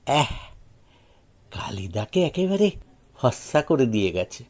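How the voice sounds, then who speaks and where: A man's voice: medium pitch, big pitch swings, takes it slowly, a little mellow. A man voicing a sly petty thief in an audio story, muttering to himself in gloating, self-pitying, mock-pious exclamations. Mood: rueful.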